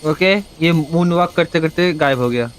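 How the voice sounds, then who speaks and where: A man's voice: on the high side, racing, a little hiss or hum. A man with an Indian accent doing casual, playful live game commentary, often repeating phrases. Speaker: game streamer. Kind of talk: casual live gameplay commentary, mixing Hindi and English. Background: game music.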